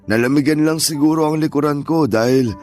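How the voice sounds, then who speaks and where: A man's voice: fairly high pitch, fairly quick, faint music behind, natural voice. An actor playing an elderly Filipino grandfather, stubborn but joking and reassuring, in radio drama style. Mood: stubborn, dismissive. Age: older. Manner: gentle, reassuring, fatherly.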